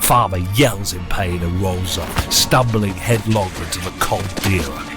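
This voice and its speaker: A man playing a grave, theatrical storyteller, narrating a radio suspense drama in a measured, formal and deliberate manner. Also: low-pitched. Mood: dramatic, suspenseful. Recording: loud music under voice.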